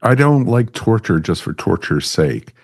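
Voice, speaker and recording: A man talking casually as a podcast panelist, speaking emphatically and quick to agree. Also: lowish voice, big pitch swings, darkish tone, husky, no room sound, clean studio sound. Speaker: podcast panelist reviewing audio drama. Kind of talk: casual conversational review.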